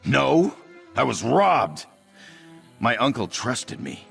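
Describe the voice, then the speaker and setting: A man's voice: medium pitch, sing-song, takes it slowly, creaky, fairly bright tone, faint music behind, full character voice. A man voicing an anxious, self-doubting young cat-man trader in a fantasy video game, fretful and hesitant. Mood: despondent, fretful.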